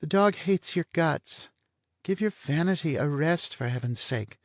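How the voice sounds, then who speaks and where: A lazy, sardonic blonde who teases everyone around her, as a male narrator puts her on. She drawls high and soft, every line dripping with mockery, close and dry. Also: lively intonation, measured pace, no echo. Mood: mocking. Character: languid mocking blonde woman, teasing.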